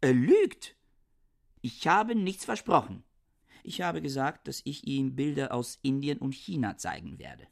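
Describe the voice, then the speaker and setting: A gravelly, curt male scholar in a radio play, who clips off his sentences and waves people away. His voice has a lively rise and fall and sounds a little distant from the mic. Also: mid-range voice, measured pace. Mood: brusque. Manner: brusque, dismissive. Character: curt, reclusive male scholar, middle-aged. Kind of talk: radio drama acting.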